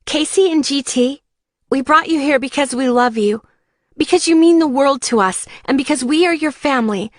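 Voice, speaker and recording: Caring female friend in an audiobook, higher voice, heartfelt and emphatic, dry recording played by a woman narrator. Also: moderate pace, no room sound, close to an everyday voice. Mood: earnest, loving. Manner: earnest, emphatic.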